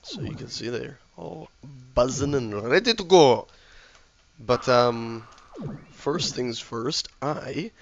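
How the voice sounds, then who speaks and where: A man with a sore throat and a slightly hoarse voice, casually commentating his game while he builds, mumbling and thinking aloud to himself. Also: medium pitch, lively intonation, slow, lo-fi sound. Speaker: let's play gamer.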